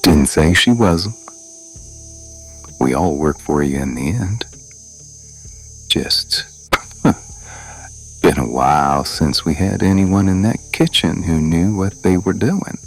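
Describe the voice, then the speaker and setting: A man's voice: deep voice, expressive, slow pace, pauses often, soft background music, heavily acted, poor audio. A man voicing an easygoing, teasing ranch hand with a folksy, familiar manner in an ASMR romance roleplay.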